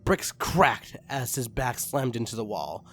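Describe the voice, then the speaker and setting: A man's voice: mid-range voice, very animated, measured pace, slightly rough, faint music behind. A man reading fan fiction aloud as a dramatic story narrator.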